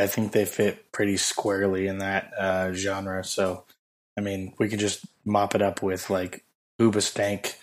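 Podcast co-host, male, hoarse and low, flat delivery, halting rambler. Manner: rambling, halting with many fillers. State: hoarse, losing his voice. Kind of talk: casual chat.

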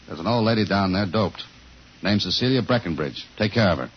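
An actor playing a tough, blunt police detective in a 1950s radio crime drama, with clipped, terse delivery. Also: male voice, on the low side, animated, fairly quick, slightly rough. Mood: businesslike. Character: tough, blunt male police detective narrating his case. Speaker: actor in the lead role. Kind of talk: 1950s radio crime drama acting, hard-boiled narration. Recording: muffled vintage radio recording, loud music.

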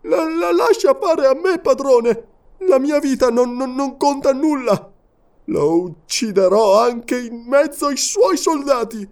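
A man's voice: very high voice, animated, slow pace, darkish tone, pitched above the performer's own voice, full character voice. An Italian man narrating an audiobook and voicing a deformed giant servant, loyal and bloodthirsty, who stammers frantically. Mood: frantic.

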